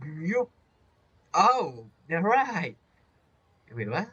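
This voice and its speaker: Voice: medium pitch, big pitch swings, drawn-out words, fairly bright tone, slightly rough, lo-fi sound. A game streamer giving casual, rambling and playful commentary while playing. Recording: faint game music behind the voice.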